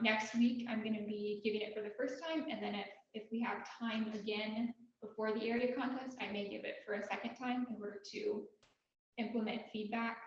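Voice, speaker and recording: A soft-spoken woman opening a club meeting as its president, in a relaxed, friendly way. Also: a little high. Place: meeting room, in person. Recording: very echoey room, dull sound.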